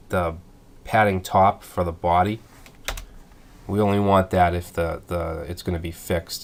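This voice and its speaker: A man with a stuffed-up voice from a cold, calmly explaining web code step by step in a screencast tutorial. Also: deep voice, a little hiss or hum. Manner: methodical, thinking aloud with fillers. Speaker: coding tutorial instructor.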